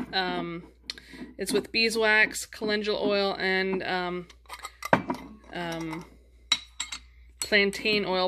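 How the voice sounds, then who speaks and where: A woman's voice: mid-range voice, a bit bright. Chatty woman with noisy home recording. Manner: chatty, rambling, self-deprecating. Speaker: home canning vlogger. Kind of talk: casual unscripted show-and-tell. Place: indoors at home. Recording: clear background noise, handling clicks.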